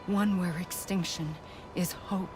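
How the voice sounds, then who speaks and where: An actress playing a weary, fatalistic female extinction entity in a video game cutscene, speaking gravely and deliberately with hushed phrasing. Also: on the low side, steady tone, music in the background, rough recording. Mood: solemn, resigned.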